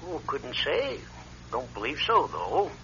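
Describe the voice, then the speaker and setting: A man's voice: mid-range voice, wildly swooping pitch, slow pace, sharp tone, full character voice. An actor playing a folksy, cagey but courteous local man in a radio drama, talking in clipped phrases. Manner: clipped, folksy, drops sentence subjects. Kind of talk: classic radio drama acting. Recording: dull, band-limited radio sound.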